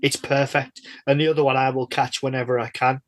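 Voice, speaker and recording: A man's voice: medium pitch, little pitch movement, fairly quick, fairly bright tone. A man hosting a casual podcast interview, chatting in a rambling, jokey and self-deprecating way. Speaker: guest host and interviewer of a podcast.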